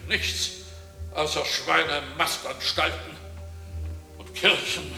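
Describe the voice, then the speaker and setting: An actor in a radio drama playing an embittered, pompous performer full of disgust, declaiming in a drawn-out theatrical way. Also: male voice, a little high, fairly even intonation, vocal fry, sharp tone, echoey room, soft background music, heavily acted. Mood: disgusted, scornful. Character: embittered pompous old-school performer disgusted by provincial life. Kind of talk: German radio drama acting.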